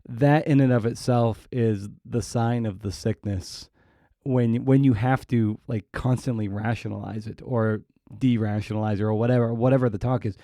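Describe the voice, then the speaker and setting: A man hosting a casual interview podcast, reflective and halting as he thinks aloud. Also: on the low side, dark tone, no room sound, studio quality.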